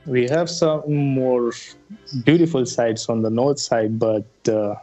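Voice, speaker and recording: A man's voice: medium pitch, fairly even intonation, slow pace, dark tone, faint music behind. A young man in his twenties with a Pakistani accent, a Pashto native speaker, answering hesitantly with many fillers over an earphone mic on a video call. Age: 20s. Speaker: guest, drone pilot. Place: plain room indoors. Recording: dull lo-fi call audio.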